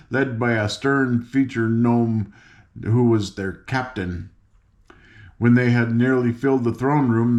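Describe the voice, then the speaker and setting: A man's voice: medium pitch, a little mellow. An older man reads a children's story aloud in an informal, folksy way, with chatty asides and stumbles over words. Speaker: audiobook reader.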